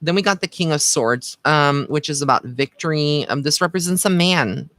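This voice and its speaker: Bright-voiced man chatting casually on a livestream. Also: fairly high pitch, normal speed, dry recording. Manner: informal, chatty, addresses listeners with endearments. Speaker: psychic medium giving live card readings. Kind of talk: casual livestream chat with viewers.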